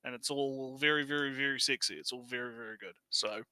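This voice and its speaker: A man's voice: medium pitch, quick pace, pushed and bright, clean tone. A man co-hosting a livestream with casual banter, rambling and often repeating his phrases. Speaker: livestream co-host.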